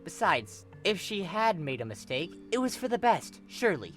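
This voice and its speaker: A male narrator with a slightly high voice reads fiction expressively. Background music plays clearly behind him. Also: very animated, moderate pace, fairly bright tone. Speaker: narrator, fan fiction reader. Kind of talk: expressive scripted story narration.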